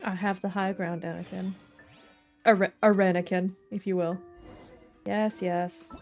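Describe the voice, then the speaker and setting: A woman's voice: lowish voice, flat delivery, unhurried, darkish tone. A woman livestreaming a video game, chatting casually to her viewers with playful, jokey asides. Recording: game music under the voice. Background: video game music.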